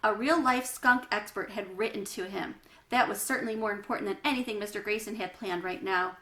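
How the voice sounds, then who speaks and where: A woman reading a children's story aloud as a storyteller, with expressive delivery. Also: medium pitch, fairly quick. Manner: explains hard words in asides. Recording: roomy, echoey room sound.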